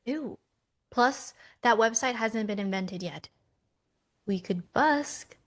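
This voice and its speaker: A woman's voice: a little high, animated, slow, fairly bright tone. A woman playing an upbeat, eager, persuasive Millennial twin in a romcom table read. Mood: hopeful.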